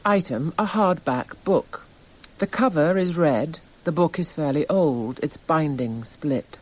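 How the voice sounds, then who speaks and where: An actor playing a detached, methodical narrator reading out evidence in a radio drama, measured, precise and matter-of-fact. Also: male voice, a little high, expressive. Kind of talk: scripted narration. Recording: slightly dull radio recording.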